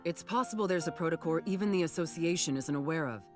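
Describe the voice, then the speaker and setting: A woman's voice: deep voice, fast talker, over music, natural voice. An actress playing a composed, businesslike woman captain of monster hunters in a game. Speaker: commanding officer of a hunter team. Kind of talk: scripted game voice acting.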